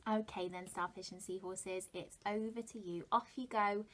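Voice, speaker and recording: A woman's voice: medium pitch, moderate pace, background noise. A woman, a primary teacher reading a story to young children and asking them questions. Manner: expressive, addressing young children. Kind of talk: children's story read-aloud with questions to listeners.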